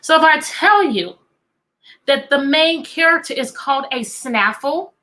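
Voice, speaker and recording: A woman with a high, bright voice leads an interactive read-aloud for young children. She sounds like an animated teacher, coaxing her listeners along and repeating her questions so they will respond. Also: low-quality recording. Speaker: teacher reading a picture book aloud.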